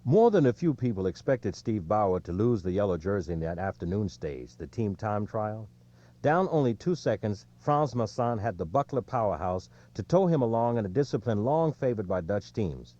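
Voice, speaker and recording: A man reading an audiobook in a measured, clearly scripted storytelling delivery, recorded with slightly lo-fi sound. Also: on the low side, lively intonation, dark tone. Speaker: audiobook narrator.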